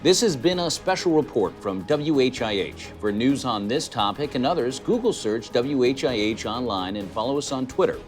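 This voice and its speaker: A man's voice: medium pitch, lively intonation, moderate pace, hardly any pauses, faint music behind, lightly acted. A man playing a wry, opinionated TV news anchor with a dry, sarcastic delivery in a scripted newscast.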